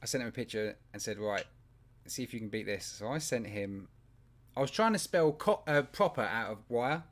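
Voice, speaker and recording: A man's voice: fairly low pitch, normal speed. A man co-hosting a live show in casual chat, telling stories and laughing often. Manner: animated storyteller, laughs often. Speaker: podcast co-host.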